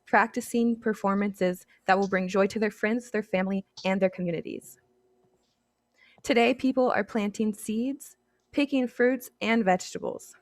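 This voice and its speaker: A young woman, a student volunteer, introducing herself and reading a picture book aloud in a gentle, even storytime voice over a video call. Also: on the high side, no room sound. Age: young adult.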